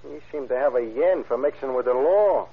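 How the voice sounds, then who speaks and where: Husky, wry American adventurer. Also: male voice, medium pitch, animated, moderate pace. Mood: skeptical. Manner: laconic, slangy, needling. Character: wry, skeptical American soldier-of-fortune, the crook's old pal. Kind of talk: old-time radio crime drama acting. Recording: muffled, tinny old radio recording.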